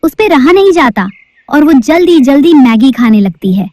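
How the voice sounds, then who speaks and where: A woman's voice: high voice, expressive, normal speed, darkish tone, dry recording, clean studio sound. A woman narrating a cartoon story in a storybook-telling manner.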